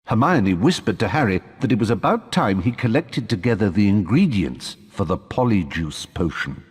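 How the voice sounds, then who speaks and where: Husky, low male storyteller. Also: very animated, moderate pace, big-room sound. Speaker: narrator. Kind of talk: scripted storybook narration for a video game.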